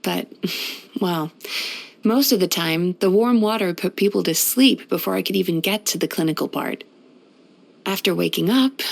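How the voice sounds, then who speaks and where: Casting: ageless female dream spirit and healer for a fantasy game; soothing, courteous, caring counsellor manner, mid-pitched. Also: creaky. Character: gentle, caring centuries-old female dream-eating spirit therapist. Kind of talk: video game character voice lines.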